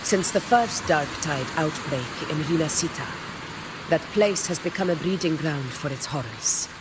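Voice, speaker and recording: An actress playing a proud, commanding gladiator leader in a video game, speaking formally with stately self-assurance. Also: female voice, low-pitched, expressive, moderate pace, background noise. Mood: solemn, resolute. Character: proud, commanding female gladiator war leader, regal and battle-hungry. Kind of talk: dramatic video game character dialogue.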